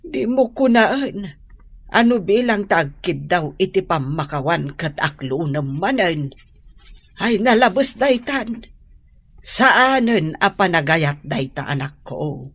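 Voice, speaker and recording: Husky, slow-spoken worried Ilocano mother. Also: fairly low pitch, big pitch swings, dry recording, full character voice. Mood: pleading, scolding. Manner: pleading, admonishing. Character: worried, exasperated mother scolding her heartbroken grown daughter. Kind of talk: Ilocano radio drama acting.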